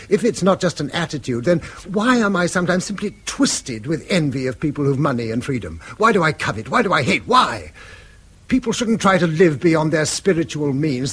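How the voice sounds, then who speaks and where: A man's voice: a little high, a little hiss or hum. A man acting a weary, bitter middle-aged schoolmaster and father in a British radio drama, speaking eloquently and self-reproachfully in a British accent. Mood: anguished, self-reproaching. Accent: British English. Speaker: actor.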